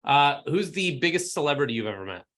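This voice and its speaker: A man's voice: on the high side, very animated, quick pace, a bit bright. A man hosting a podcast, asking questions in a friendly, chatty way. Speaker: podcast host. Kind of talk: casual interview chat.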